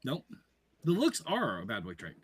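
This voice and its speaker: A blunt, assertive man with a deep voice holds forth in a loose group discussion. His pitch moves around a lot as he drives his points home. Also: wildly swooping pitch, normal speed, breathy edge, no echo. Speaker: podcast co-host. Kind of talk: casual debate chat.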